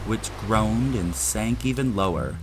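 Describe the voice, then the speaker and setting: A man's voice: fairly low pitch, unhurried, a little hiss or hum, poor audio. A man giving dramatic scripted narration for an audiobook-style audio drama.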